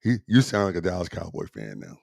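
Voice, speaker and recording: A man's voice: deep voice, huge pitch swings, brisk, vocal fry, darkish tone, no room sound, clean studio sound. Man pressing hard in a sports argument. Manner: challenging, interrupts, repeats "listen" and "wait a second". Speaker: co-host. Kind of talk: heated sports debate.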